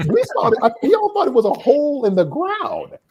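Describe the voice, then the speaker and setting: A man's voice: very high voice, wildly swooping pitch, moderate pace, darkish tone. A man speaking as a panelist in a casual discussion, repeating words as he searches for phrasing.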